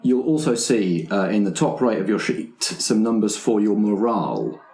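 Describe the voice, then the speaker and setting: A man acting as game master, explaining tabletop game rules to his players in a halting, explanatory way. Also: on the low side, lively intonation, darkish tone. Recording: roomy, echoing room sound.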